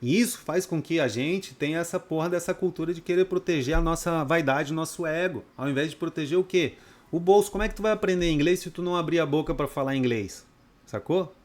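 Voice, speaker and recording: A man's voice: on the high side, a little fast. A man from Brazil, teaching a trading course in a blunt, profane, off-the-cuff lecture full of rhetorical questions. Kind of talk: unscripted lecture to students.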